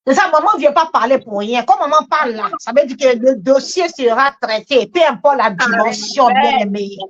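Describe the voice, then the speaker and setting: High-voiced woman exhorting a congregation. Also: brisk, muffled recording. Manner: emphatic, repeats phrases. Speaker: church host and exhorter. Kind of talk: animated charismatic church exhortation.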